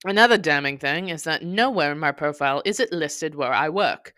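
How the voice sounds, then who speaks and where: Podcast narrator: theatrical, sardonic, wordy, mid-pitched voice, smooth and clear, recorded close and dry. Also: lively intonation, fairly quick, bright, forceful tone, dry recording. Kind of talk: dramatic scripted storytelling, reading a blog.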